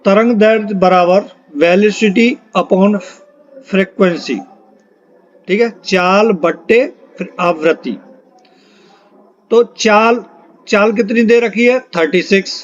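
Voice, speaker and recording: A man's voice: high-pitched, slow pace, long pauses. A man teaches an exam-prep lesson, reading out questions and options and repeating them for emphasis. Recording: dull, lo-fi microphone sound.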